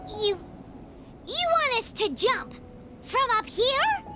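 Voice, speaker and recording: An excitable little fairy girl for a video game. Her squeaky, childlike voice is extremely high and swoops around in a perky, exclamatory way, with prominent music playing behind it. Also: slow, heavily acted. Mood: questioning, wary. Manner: perky, exclamatory, refers to self by name. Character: small excitable childlike female fairy companion.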